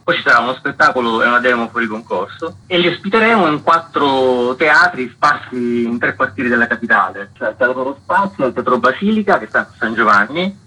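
A man's voice: mid-range voice, pushed and bright, husky, rough recording. A man speaking as an interview guest, giving spontaneous explanatory answers as a festival director.

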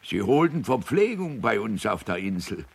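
An actor playing a plain-spoken island fisherman giving simple, deliberate witness testimony in a radio play. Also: fairly low pitch, very animated, vocal fry, a little mellow, slightly rough, heavily acted. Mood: wistful recollection.

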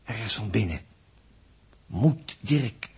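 A man with a Netherlands Dutch accent playing a sly, needling, flirtatious space crewman in a 1960s radio drama, speaking haltingly and repeating words. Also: on the low side, animated, vocal fry, a little mellow, breathy edge, heavily acted. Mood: insinuating. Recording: muffled 1960s radio recording.

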